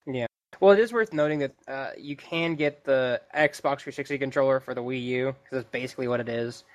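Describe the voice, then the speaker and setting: A man's voice: mid-range voice, fairly quick. A man co-hosting a podcast, talking casually and explaining his points, often acting out imagined remarks. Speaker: podcast co-host. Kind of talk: casual gaming podcast discussion.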